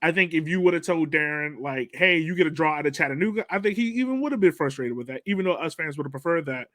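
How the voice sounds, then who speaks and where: A man's voice: fairly high pitch, lively intonation, quick pace, fairly bright tone, dry recording, clean studio sound. A man hosting a casual sports podcast, chatting in a slangy, jokey way. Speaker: podcast host.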